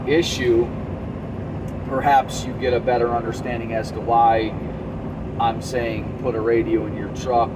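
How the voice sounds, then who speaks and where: A man who drives trucks talks casually to his viewers in a rambling, conversational way, giving advice from experience. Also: mid-range voice. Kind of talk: casual vlog storytelling and advice. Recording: distant mic with loud vehicle background noise.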